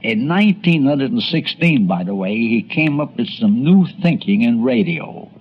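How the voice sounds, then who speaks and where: A man's voice: medium pitch, very animated, normal speed, breathy edge. A man reading scripted narration for a radio documentary. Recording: dull lo-fi radio documentary audio.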